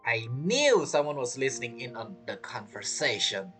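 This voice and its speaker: Female game streamer: low voice, animated intonation, casual reactions, game music behind. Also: normal speed. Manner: reacts aloud, thinks out loud. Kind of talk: casual gameplay commentary.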